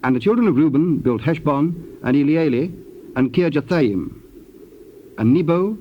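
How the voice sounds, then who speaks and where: A man narrating scripture in a formal, measured way. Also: fairly high pitch, animated. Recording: dull, noisy old cassette tape.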